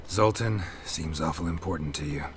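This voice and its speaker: An actor playing a gruff, weary male monster hunter in a video game, gravelly and terse with dry delivery. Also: low voice, normal speed, noisy background, natural voice. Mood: guarded, dry.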